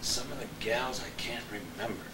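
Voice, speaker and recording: A man's voice: medium pitch, moderate pace, over a noisy crowd. An older man chatting casually and reminiscing as a guest on a panel of old broadcasting friends. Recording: echoey room, distant mic, clear noise.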